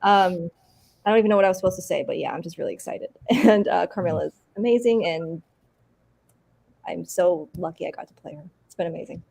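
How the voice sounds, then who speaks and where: A woman's voice: on the low side, a little fast, creaky, a little mellow, breathy edge. Bubbly woman actor chatting. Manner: bubbly, laughs often, talks in bursts. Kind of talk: casual interview chat.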